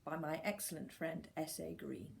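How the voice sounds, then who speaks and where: A woman reading a scripted first-person literary story in a dry, measured storytelling manner. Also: deep voice, fairly even intonation, gravelly, slight background noise. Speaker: story reader.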